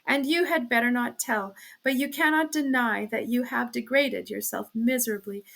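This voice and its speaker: Woman acting an earnest, reproachful, high-voiced wife. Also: steady tone, normal speed, vocal fry, a bit bright. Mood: pleading, insistent. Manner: pleading, emphatic. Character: earnest, anguished young wife and mother, reproachful, firm.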